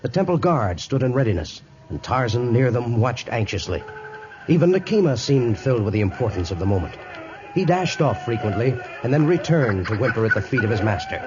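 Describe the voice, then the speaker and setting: A man's voice: medium pitch, big pitch swings, fairly quick, a little mellow. A man narrating a 1950s radio adventure in dramatic storytelling style, on an old muffled recording. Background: dramatic music.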